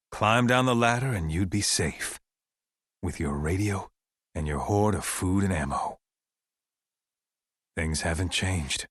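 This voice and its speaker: A middle-aged American survivalist broadcaster for a video game. His low voice is dry and close, with no room around it. He preaches in a folksy, deliberate way, as a distrustful militia leader addressing his people by radio. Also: no room sound. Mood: wary, reflective. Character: paranoid male survivalist militia leader broadcasting anti-government radio sermons. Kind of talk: dramatic radio monologue.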